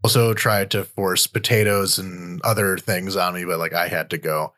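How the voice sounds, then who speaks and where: Podcast host, male, lowish voice, relaxed commentary that restarts mid-sentence. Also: moderate pace. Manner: loose, thinking aloud with frequent restarts. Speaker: podcast host and war reporter. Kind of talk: conversational news commentary.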